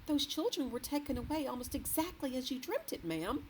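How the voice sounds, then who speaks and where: A flustered middle-aged maid, put on by a woman reading aloud, high and anxious, every sentence ending in a nervous ma'am. Her voice bounces up and down. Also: sing-song, fairly quick, a little hiss or hum, rough recording. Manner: deferential, flustered, keeps saying ma'am.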